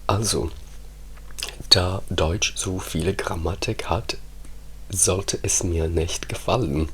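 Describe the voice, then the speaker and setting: A man whose first language is Italian, talking softly in an ASMR ramble, often slipping into whispers with halting restarts. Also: deep voice, slight background noise. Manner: slow, hushed, drifting into whispers, halting restarts. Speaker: ASMR creator. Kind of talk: soft-spoken ASMR ramble.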